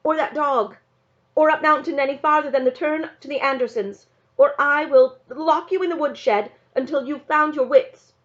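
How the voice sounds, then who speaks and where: A woman's voice: high voice, normal speed, pitched above the performer's own voice, natural voice. A woman reading the part of a stern, worried country mother in a storybook, with firm, emphatic commands. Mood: stern, scolding. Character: stern, worried rural mother scolding her child. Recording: slightly dull, lo-fi sound.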